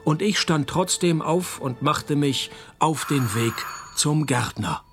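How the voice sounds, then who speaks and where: An actor playing a wry, world-weary private detective in a radio crime comedy, deadpan and sardonic. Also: male voice, on the high side, slow, faint music behind. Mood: dry, wry. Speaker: detective and narrator. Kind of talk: radio crime comedy, first-person noir narration.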